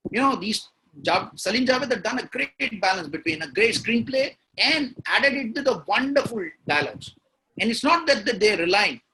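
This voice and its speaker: A man's voice: sits high, sharp tone, husky, rough recording. A man with an Indian accent, a guest in an interview, talking emphatically and laying out his points one by one, often repeating key words. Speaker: guest writer. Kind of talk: interview talk on storytelling.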